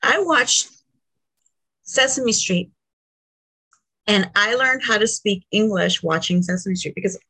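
A woman in her forties, a native Spanish speaker, co-hosting a podcast in a jokey, animated way and laughing often. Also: mid-range voice, takes it slowly, long pauses, breathy edge, low-quality recording. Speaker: podcast co-host. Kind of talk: casual chat.

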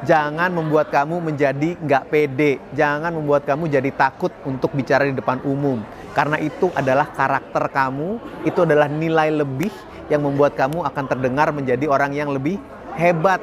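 A man's voice: on the high side, fairly even intonation, normal speed, a crowd in the background. A man giving a chatty, upbeat talk to camera as a public speaking coach, laughing now and then at his own slips. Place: outdoors in a city.

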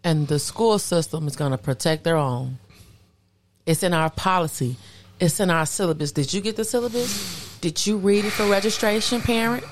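Low-voiced woman, dry co-host. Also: normal speed, a little hiss or hum. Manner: dry, sarcastic interjections. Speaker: podcast co-host. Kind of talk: casual podcast discussion.

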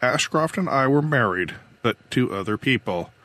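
A man's voice: medium pitch, animated, normal speed, sharp tone. A man voicing a weary, defiant, idealistic older woman who captains a starship in an audiobook. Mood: resigned, confessing. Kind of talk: audiobook character dialogue.

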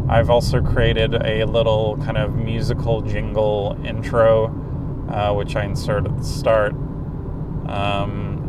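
A man with a bassy voice talking casually about his podcast on a lapel mic, halting with many ums. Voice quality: bassy deep voice. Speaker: podcast host. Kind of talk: casual solo talk. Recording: loud background noise.

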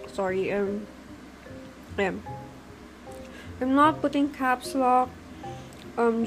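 Young woman, Filipino accent, reflective casual monologue, fairly high voice, faint music behind. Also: poor audio. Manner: reflective, mixes Tagalog with English, frequent fillers.

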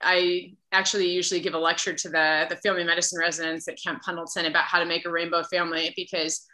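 A woman's voice: fairly low pitch, level tone, fast talker, sharp tone, rough recording. An American woman in her thirties telling her own story conversationally as an interview guest. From Massachusetts, United States. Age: 30s. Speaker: podcast guest, military physician.

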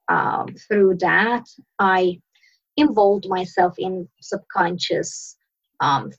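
A woman from Sweden, a coach and podcast guest, answering questions in a relaxed way, pausing and restarting as she searches for words. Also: medium pitch, vocal fry, slightly rough, dull audio. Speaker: mindset and success coach, guest. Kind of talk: casual interview answers.

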